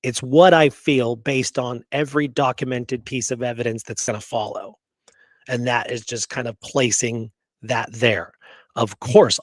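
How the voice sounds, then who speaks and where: Male history host, mid-pitched, conversational and emphatic, casual research chat in a dry recording. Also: no room sound.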